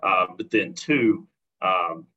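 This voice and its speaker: A man's voice: deep voice, slow, pushed and bright. A man with an American accent talking in an agreeable, explanatory way in a professional conversation. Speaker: college athletics sponsorship professional. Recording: dull, lo-fi audio.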